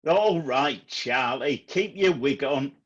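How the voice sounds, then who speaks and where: A man's voice: mid-range voice, expressive, slow, lo-fi sound. A man playing a blunt, scolding music manager and irritable son in a comedy radio play. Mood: scornful.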